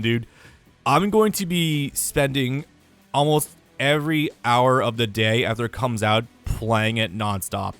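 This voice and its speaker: A young man with a tired, raspy voice, talking casually and rambling to his gaming channel's viewers, with lots of fillers and some swearing. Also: mid-range voice, fairly bright tone, no echo. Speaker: gaming YouTuber. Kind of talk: casual year-review vlog.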